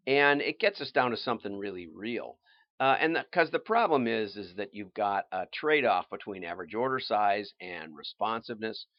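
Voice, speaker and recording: A man hosting a livestream, explaining things informally in a halting, think-aloud way with restarts and asides. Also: fairly low pitch, no echo, highs cut off. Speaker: livestream host and marketing consultant.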